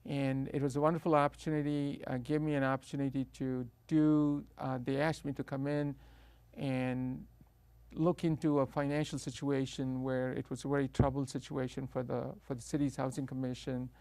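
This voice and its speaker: Indian man with a gravelly, hesitant voice. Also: medium pitch, flat delivery, normal speed, darkish tone, no echo. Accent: South Indian. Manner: hesitant, many uh fillers and restarts. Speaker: guest. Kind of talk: interview, telling his life story.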